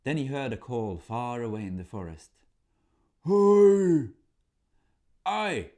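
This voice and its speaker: A man with a fairly low voice tells a folk tale. His narration is theatrical and measured, shaped like spoken storytelling for listeners. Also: lively intonation, darkish tone.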